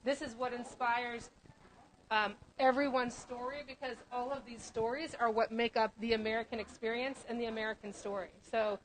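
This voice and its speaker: A woman with a fairly high voice hosts a live gallery tour, asking questions and affirming her guests with enthusiastic interjections. She is recorded indoors in an art museum gallery by a camera following her as she walks. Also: fairly even intonation, moderate pace, slight background noise, low-quality recording. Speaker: museum event host. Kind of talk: live walk-through interview.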